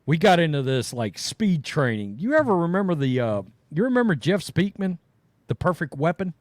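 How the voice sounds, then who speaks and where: Lively rambling male co-host. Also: on the high side, moderate pace, no echo, studio quality. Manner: rambling, trails off quietly. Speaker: podcast co-host. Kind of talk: casual chat.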